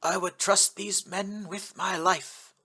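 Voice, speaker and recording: A man's voice: high-pitched, fairly even intonation, slow, sharp tone, husky, voice pushed up, heavily acted. A man doing a proud old Legion officer. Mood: proud, assured.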